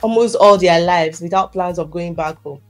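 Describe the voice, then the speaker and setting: A woman's voice: fairly low pitch, normal speed, soft background music. A woman hosts a conversational debate, explaining her points insistently. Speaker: host.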